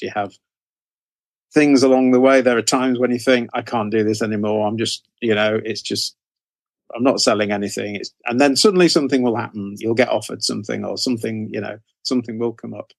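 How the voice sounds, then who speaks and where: A man's voice: on the low side, vocal fry, dry recording. Male, 60s, British, interview guest telling career stories conversationally, circling back on phrases, dry recording. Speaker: interview guest, horror author.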